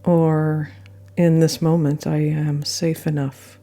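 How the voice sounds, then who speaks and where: Low, mellow woman guiding meditation. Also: flat delivery. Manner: gentle, unhurried phrasing with pauses. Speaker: yoga nidra guide. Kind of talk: guided meditation narration. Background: soft meditation music.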